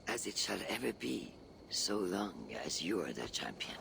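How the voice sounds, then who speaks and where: An actor playing a weary, reflective older warrior in a game cutscene, solemn and gravelly in his delivery. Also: fairly low pitch, sing-song, moderate pace, noisy background, full character voice. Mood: wistful. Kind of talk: video game cutscene acting.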